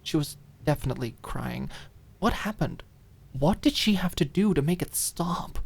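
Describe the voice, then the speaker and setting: A woman reading a dramatic audiobook, expressive and deliberate, lingering on key phrases. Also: low voice, vocal fry, slightly rough. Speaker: audiobook narrator. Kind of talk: dramatic scripted narration.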